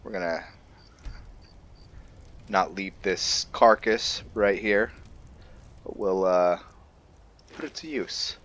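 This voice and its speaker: Low-voiced, slow-talking man, casual game commentary. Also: a bit bright, lo-fi sound. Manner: laid-back, halting, thinking aloud. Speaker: host of a gaming channel.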